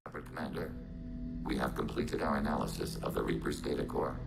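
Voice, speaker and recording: A man voicing a calm, logical synthetic machine soldier in a video game, with clipped formal phrasing and robotic processing. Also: rumbling low, moderate pace, gravelly. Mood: flat, procedural. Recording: game audio under loud music.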